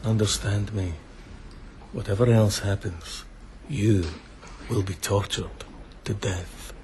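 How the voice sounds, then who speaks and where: Brutal bandit leader in a radio drama, slow mocking menace, leering and theatrical, bit of noise behind. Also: male voice, on the low side, steady tone, dark tone. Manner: taunting, theatrical, mock-courteous, chuckling menace.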